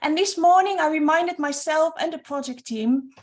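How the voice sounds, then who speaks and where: High-voiced woman with a Norwegian accent. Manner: earnest, slightly halting non-native English with restarts. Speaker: project coordinator. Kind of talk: webinar presentation.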